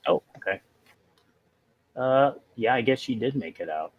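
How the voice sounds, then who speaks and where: A man playing a tabletop role-playing game, talking casually and haltingly as he works things out. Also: medium pitch, expressive, muffled recording. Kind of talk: casual in-character role-play chat.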